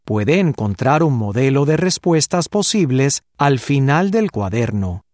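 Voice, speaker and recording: Brief: male narrator for a language course, slow and clear, lots of pitch movement. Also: sing-song, darkish tone, dry recording, studio quality. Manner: slow, clear, deliberate reading. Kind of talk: scripted instructional narration.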